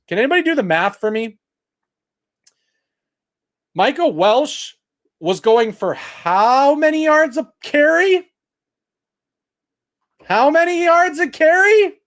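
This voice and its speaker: Fired-up guy ranting about a football game, loud projecting voice, kinda high. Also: lively intonation, takes it slowly, long pauses. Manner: loud projecting voice, heated and exasperated; reads stats aloud to chat. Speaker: sports talk host. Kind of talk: post-game livestream commentary.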